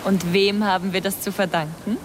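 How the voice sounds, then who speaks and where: A woman playing a delighted, dreamy young woman in love, tender and unhurried, in a radio drama. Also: mid-range voice, fairly even intonation, background noise, lightly acted. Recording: music, crickets or surf behind.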